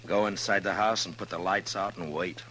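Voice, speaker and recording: A man's voice: sits low, fairly even intonation, moderate pace, bright, forceful tone. An actor playing a weary, stern American lawman in a 1950s radio western, speaking in terse, grave lines. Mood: grave, watchful. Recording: noisy 1950s radio recording.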